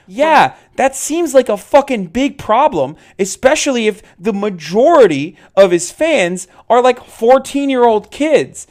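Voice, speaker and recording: A man's voice: high voice, a little mellow. A man giving casual streamer commentary, ranting with plenty of profanity.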